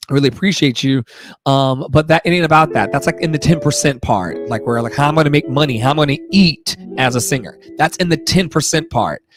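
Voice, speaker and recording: A man with a fairly high voice hosts his own live singing show. He talks in loose, effusive rambles full of slang and swearing, with music playing along. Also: a little fast. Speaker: singer hosting a live audio room show. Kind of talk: casual, rambling livestream chat.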